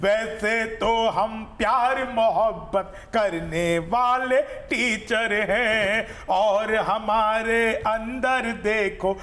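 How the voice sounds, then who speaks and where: A man's voice: high voice, extremely slow, few pauses, a bit bright. A man reciting rhythmic verse in a declamatory chant, stretching line endings and repeating lines. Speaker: comic poet reciting at a poetry gathering. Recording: roomy echo, some background noise.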